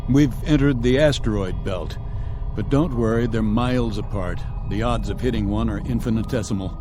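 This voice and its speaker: An actor playing a steady, commanding male spaceship captain in a radio drama. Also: on the low side, normal speed, noisy background, natural voice. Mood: calm, assured. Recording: music under the dialogue.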